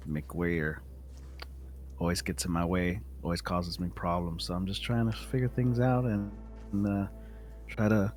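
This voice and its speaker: Deep, slow-talking man at a game. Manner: halting, deliberate. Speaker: tabletop game player. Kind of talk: casual in-character introduction. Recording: faint music behind the voice.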